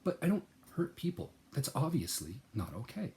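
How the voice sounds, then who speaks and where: A man speaking in a personal, reflective monologue, earnest and searching, repeating words as he thinks and swinging from soft, lowered phrases to sudden loud, emphatic bursts. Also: very animated, normal speed, slight background noise. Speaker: channel host.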